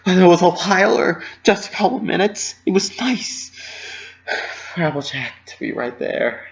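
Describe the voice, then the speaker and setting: A man reading fan fiction dramatically in the first person, with emotional, halting narration. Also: sits high, big pitch swings, gravelly, dull audio. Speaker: amateur story reader.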